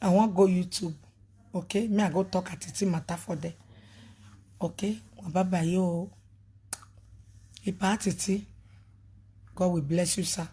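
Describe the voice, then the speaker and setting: A woman with a Nigerian accent, talking emphatically as a prophetess on a live video, swinging between loud outbursts and a lowered voice. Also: steady tone, unhurried, long pauses, breathy edge. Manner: emphatic, switches between pidgin and a local language.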